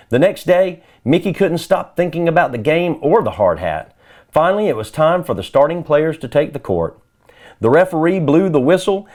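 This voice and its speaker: A man's voice: a little high, a little mellow. A man reading a children's story aloud as scripted narration. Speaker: storybook reader.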